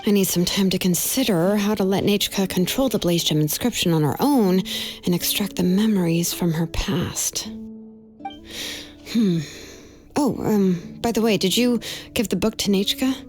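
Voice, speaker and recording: A woman voicing a composed, articulate young craftswoman in a video game, firm yet caring, with a measured, matter-of-fact delivery. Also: medium pitch, expressive, a little mellow, natural voice. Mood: frank, caring. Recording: background music under the dialogue.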